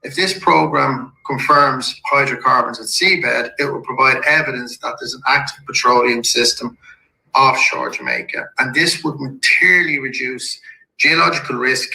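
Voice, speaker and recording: A man with a slightly croaky voice from a head cold, a company executive calmly walking shareholders through an investor presentation slide by slide. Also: medium pitch. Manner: measured and businesslike, with occasional restarts. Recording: echoey room.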